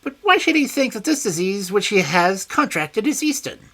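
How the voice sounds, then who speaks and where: A reader voicing a small, frail, petulant older man with a high, shrill, screaming voice and imperious, peevish outbursts. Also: very animated, normal speed, bright, forceful tone, exaggerated voice. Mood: probing.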